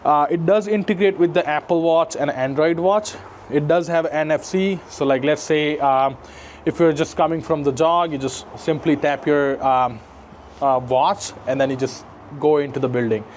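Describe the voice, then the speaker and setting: Higher-voiced man giving a casual walkthrough, noisy background. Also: moderate pace, a little mellow. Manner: explanatory, often tags sentences with "right?". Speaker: security installer giving a walkthrough. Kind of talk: casual product demonstration walkthrough. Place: on location around a residential building, lobby to parkade.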